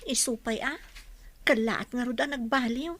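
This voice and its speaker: A woman's voice: on the high side, normal speed, fairly bright tone. An actress playing a gossipy, disapproving young village woman in a radio drama.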